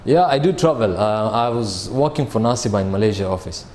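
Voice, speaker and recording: Guy in his 30s, low voice, talks slow n steady w lots of uhs, faint room hiss. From Kenya. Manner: measured, restarts often, many "uh" fillers. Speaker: sales manager. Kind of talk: interview answers.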